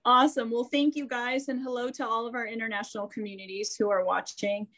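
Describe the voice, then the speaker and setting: A woman's voice: a little high, steady tone, quick pace, a bit bright. An American woman hosting an interview show, chatty and upbeat, laughing easily as she introduces her guests. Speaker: host and therapist.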